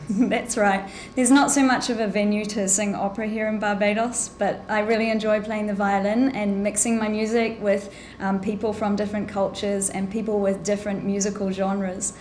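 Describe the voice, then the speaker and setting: A woman's voice: mid-range voice, flat delivery, moderate pace, darkish tone, slight background noise. A woman with a New Zealand accent chatting enthusiastically as a musician being interviewed. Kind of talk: casual interview. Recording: echoey room.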